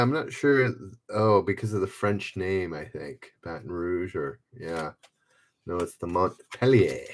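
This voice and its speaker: A man with a somewhat low voice hosts a live trivia stream at an unhurried pace. He reads each clue in the first person, then chats casually with the viewers who answer. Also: fairly bright tone. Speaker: trivia livestream host. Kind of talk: casual livestream quiz hosting.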